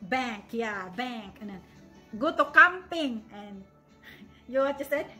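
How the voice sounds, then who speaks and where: A woman's voice: a little high, lively intonation, slow pace, bright, forceful tone, faint music behind. A woman in her forties from Bohol in the Philippines, with a Visayan Filipino accent, chatting casually to the camera at home and laughing often. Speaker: vlogger. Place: home living room, indoors. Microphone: camera at arm's length, then on a stand.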